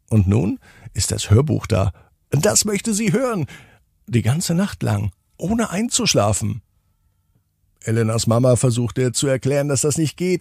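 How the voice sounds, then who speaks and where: A man narrates a bedtime podcast for children. His voice is gravelly and husky, recorded very dry, and he speaks gently to his young listeners, stretching key words. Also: mid-range voice, dry recording.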